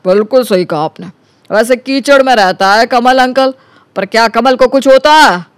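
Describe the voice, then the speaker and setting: Cartoon story role: male actor as sly young flatmate, notably high voice, glib teasing patter, dry recording. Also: moderate pace, smooth, no echo. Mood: smooth, ingratiating. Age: young adult. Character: cunning, smooth-talking young man scheming against his flatmate. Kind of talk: Hindi animated story dialogue.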